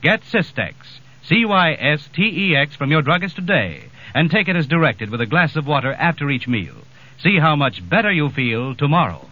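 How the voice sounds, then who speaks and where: A man's voice: fairly high pitch, sing-song, moderate pace. A male announcer reading a 1940s radio advertisement in a persuasive way with careful enunciation. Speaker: commercial announcer. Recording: muffled old radio recording.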